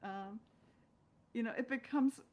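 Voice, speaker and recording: A woman's voice: a little high, measured pace, dull audio. A woman speaking as an actress guest in a casual interview, reflecting analytically as she talks. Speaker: guest, stage and screen actress. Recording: clear background noise.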